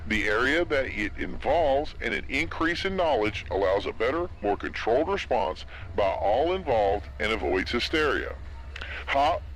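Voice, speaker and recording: A measured male radio newsreader as acted by a man delivers a scripted emergency bulletin. His mid-range voice stays formal and official, in the careful phrasing of a prepared report. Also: mid-range voice, fairly bright tone, natural voice, poor audio. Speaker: radio news announcer.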